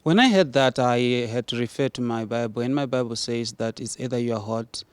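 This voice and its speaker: A man's voice: mid-range voice, a little fast, smooth, no echo. A man from Zimbabwe, an activist guest on a studio debate, answering with many hesitations and restarts.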